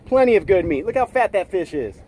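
Man, 40s, high-pitched, upbeat outdoor fishing vlogger on a boat. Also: very animated, fairly quick, dark tone, slight background noise. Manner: upbeat, narrates while fighting fish, exclamations. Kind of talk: excited fishing narration. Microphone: action camera, sometimes selfie at arm's length.

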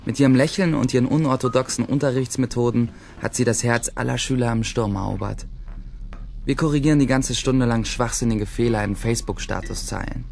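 A man's voice: medium pitch, fairly quick, gravelly, darkish tone, natural voice. Wry, boastful schoolboy gang leader man actor, radio play narrator, middle register, short punchy phrases. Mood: wry, bored. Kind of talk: first-person audio drama monologue.

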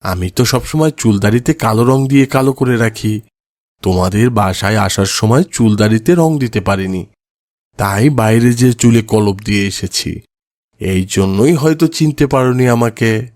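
A man's voice: lowish voice, animated, normal speed, a little mellow, dry recording, close to an everyday voice. A man acting a kindly cartoon grandfather, close dry sound. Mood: chatty. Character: kindly old grandfather, mild and good-humoured. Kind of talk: cartoon moral story dialogue.

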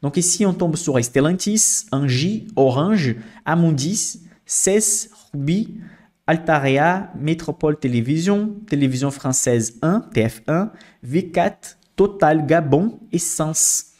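A man's voice: fairly high pitch, lively intonation, slow pace, darkish tone. Man walking through a stock screener. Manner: explanatory, frequent "ok?" checks and fillers. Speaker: investing channel host. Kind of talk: screen-share finance tutorial.

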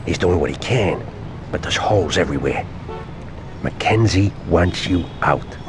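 A man's voice: low-pitched, lively intonation, measured pace, noisy background. An actor playing a male street-vendor informant in a video game, talking in a plain, cautioning way. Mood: warning.

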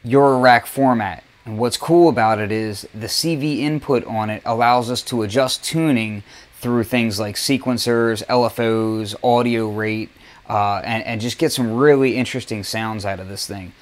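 A man's voice: fairly low pitch, steady tone, unhurried. A man presenting a music gear demo in an informal, enthusiastic way, speaking casually from a basement studio. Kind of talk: casual product demonstration.

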